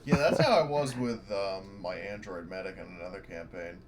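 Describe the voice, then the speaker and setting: A man's voice: deep voice, normal speed. A man playing a tabletop game, chatting casually over an online call. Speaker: player. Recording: loud noisy online call audio.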